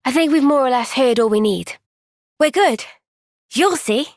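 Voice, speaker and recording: A woman playing an earnest, thoughtful young soldier in a fantasy video game cutscene, speaking in a gentle, measured, reflective way. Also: sits high, lively intonation, no echo, clean studio sound. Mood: reflective, sincere.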